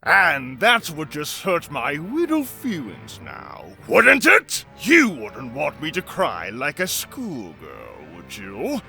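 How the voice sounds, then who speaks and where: A man's voice: huge pitch swings, vocal fry, sharp tone, faint music behind, full character voice. A man voicing a proud, hot-tempered king and father, sarcastic and menacing, in a fan comic dub. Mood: mocking, menacing. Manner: sarcastic, menacing, swinging from slow to booming.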